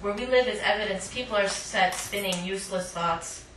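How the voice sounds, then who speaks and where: A woman's voice: mid-range voice, moderate pace, fairly bright tone. A woman reading her poetry live at a cafe, with informal, self-conscious asides. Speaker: poet, featured reader. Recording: echoey room, distant mic, clear noise.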